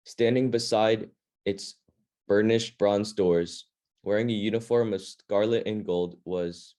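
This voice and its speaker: A man's voice: fairly low pitch, steady tone, takes it slowly, a little mellow. A man leading a reading club, reading a children's fantasy novel aloud in a plain, informal way.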